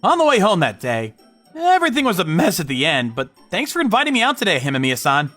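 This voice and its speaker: A woman's voice: on the low side, huge pitch swings, fast, a bit bright, no room sound, soft background music, full character voice. A woman voicing an earnest young male CEO in a manga story dub, polite, hesitant and apologetic. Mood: friendly, hesitant.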